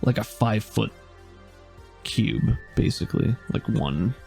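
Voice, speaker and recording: Low gravelly man running a tabletop game. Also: measured pace, soft background music. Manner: relaxed, hesitant, thinks aloud with fillers. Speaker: game master.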